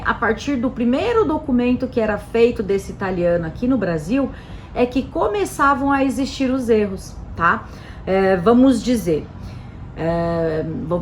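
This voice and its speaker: A woman's voice: on the high side, slight background noise. A woman with a Brazilian Portuguese accent explaining things casually to camera in a chatty way, often tagging her sentences with "né" and "tá". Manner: chatty, frequent "né" and "tá" tags, animated emphasis. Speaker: Italian citizenship specialist presenting a channel.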